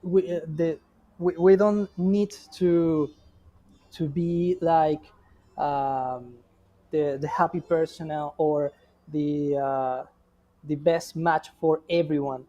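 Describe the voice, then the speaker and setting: Male guest expert, fairly high pitch but mellow, unhurried and halting, restarts a lot. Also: fairly even intonation, highs cut off. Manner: halting, frequent restarts and fillers. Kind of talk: reviewing examples in conversation.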